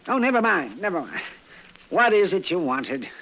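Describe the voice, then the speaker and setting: A muffled old radio western recording features a fussy frontier doctor. His fairly high voice swoops about as he stammers, bashful and courtly, easily flustered. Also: very animated, moderate pace. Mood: flustered, irritated. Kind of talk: 1950s radio western drama acting.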